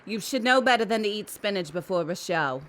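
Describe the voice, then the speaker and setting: An actress playing a bossy, wry talking magic rabbit in an audio drama, commanding and dry in manner. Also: female voice, medium pitch, lively intonation, a little fast, fairly bright tone, close to an everyday voice.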